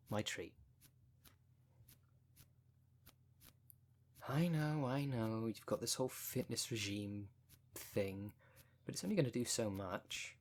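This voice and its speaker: A man's voice: lowish voice, darkish tone. A man playing a wry, caring, foul-mouthed necromancer who coaches a zombie fighter in a casual, chatty ASMR audio roleplay. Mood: warm, encouraging. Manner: chatty, colloquial, wry, consoling.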